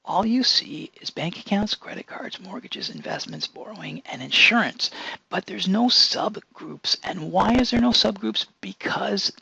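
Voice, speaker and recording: Hoarse, gravelly male consultant, tense bright edge, dull lo-fi recording. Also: sits high, animated, measured pace. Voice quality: hoarse, whispery voice. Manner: casual, unscripted explaining with many fillers. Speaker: accessibility consultant. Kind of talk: demonstrating software.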